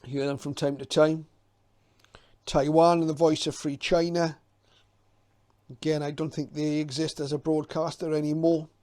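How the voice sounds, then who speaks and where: Middle-aged man, relaxed dark-soft timbre, flat intonation, hesitant, informal hobbyist commentary. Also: a little high, moderate pace, long pauses. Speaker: presenter, radio hobbyist.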